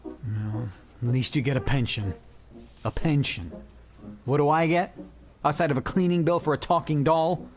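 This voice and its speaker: A man's voice: fairly high pitch, normal speed, long pauses, a little mellow, lightly acted. A man playing a bitter, lovelorn struggling entertainer in a noir audio drama, wry, self-pitying and anxious, full of wisecracks. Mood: bitter, resigned.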